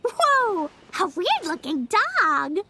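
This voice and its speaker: A woman's voice: very high-pitched, wildly swooping pitch, very slow, very noisy, exaggerated voice. A woman voicing a small, cheerful, childlike girl adventurer in an anime-style video game, bubbly and encouraging. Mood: surprised.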